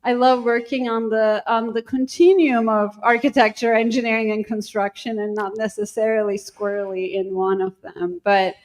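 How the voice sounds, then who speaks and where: Middle-aged woman w turkish accent, kinda high voice, lots of ums and restarts, chatting as a guest. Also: fairly even intonation, normal speed, few pauses, low-quality recording. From Turkey. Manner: thoughtful, hesitant with many ums and restarts. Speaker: university dean, guest. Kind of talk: casual interview talk.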